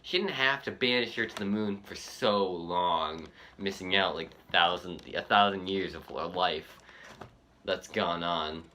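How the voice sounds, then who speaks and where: A man giving casual, unscripted fan commentary as a reaction video host, halting and repeating himself as he goes. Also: low-pitched, sharp tone, a little hiss or hum.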